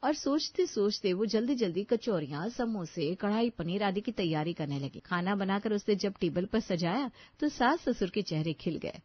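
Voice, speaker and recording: A woman's voice: medium pitch, expressive, moderate pace, few pauses, slightly rough, no echo, lo-fi sound. A woman narrating a scripted children's story as a storyteller.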